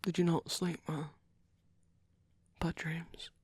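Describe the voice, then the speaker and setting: A man playing a tender, caring vampire priest in an ASMR roleplay, speaking softly and hesitantly in a soothing, coaxing way. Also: fairly high pitch, little pitch movement, slow pace, no room sound. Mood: tender concern. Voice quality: soft, breathy, hushed. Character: caring, tender vampire priest comforting his sleepy partner.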